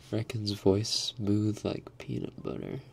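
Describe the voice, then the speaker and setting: A young man hosting an ASMR live stream, answering chat in a soft, half-whispered, slightly raspy voice and laughing often. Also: on the low side. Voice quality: a little raspy.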